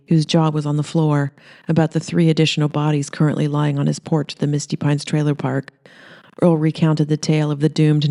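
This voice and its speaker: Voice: mid-range voice, dark tone. A narrator reading comedic horror fiction aloud as a scripted audiobook.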